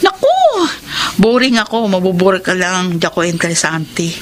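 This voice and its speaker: A woman's voice: lowish voice, moderate pace, slight background noise, lightly acted. An actress with a Filipino accent playing a worldly, flirtatious single woman in a radio drama, coy, playful and teasing.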